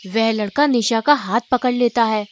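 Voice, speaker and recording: A woman's voice: a little high, normal speed, very noisy. A woman reading scripted story narration.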